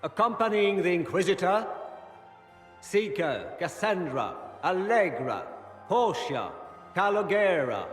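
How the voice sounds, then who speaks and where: An actor playing a pompous court herald in a video game, formally declaiming titles in an echoing great hall. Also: male voice, sits high, sing-song, slow pace, exaggerated voice. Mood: proclaiming. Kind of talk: dramatic video game acting. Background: music.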